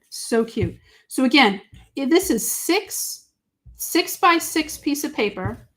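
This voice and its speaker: A woman with a Boston accent hosting a live crafting demo, chatty and gushing, talking to viewers by name. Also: fairly high pitch. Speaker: craft show host.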